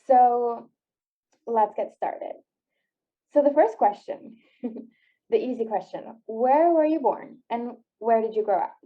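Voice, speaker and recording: A woman's voice: a little high, takes it slowly, long pauses. A woman in her twenties hosting a podcast, asking questions in a casual, friendly way with hesitant "um"s. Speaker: podcast host. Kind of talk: casual interview. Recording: dull lo-fi sound.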